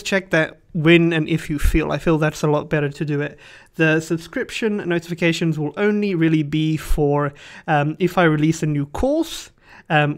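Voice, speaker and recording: A man hosting his channel, giving a relaxed, casual update over a screen recording, talking with many fillers and restarts. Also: fairly high pitch. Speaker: channel host.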